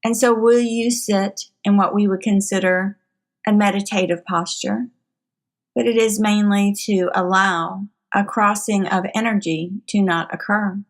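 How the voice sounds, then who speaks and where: A woman leading a guided meditation in formal, solemn phrasing with frequent pauses. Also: medium pitch, steady tone.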